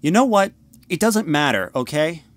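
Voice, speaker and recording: A man's voice: a little high, very animated, moderate pace, no room sound. A man playing a dismissive, irritable teenage older brother in an audio drama, with a youthful, curt delivery. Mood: irritated. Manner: curt, impatient.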